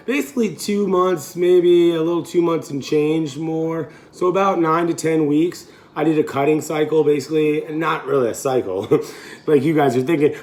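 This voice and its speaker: A man in his late thirties, a fitness coach, chatting casually in a home cooking vlog, rambling and correcting himself with easy laughs. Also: fairly high pitch. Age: 30s.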